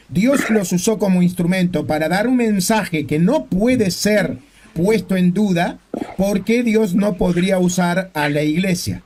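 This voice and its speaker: A man's voice: high-pitched, darkish tone, a little hiss or hum. A man with a Rioplatense Spanish accent hosting a religious debate, arguing his points with rhetorical questions. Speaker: debate host.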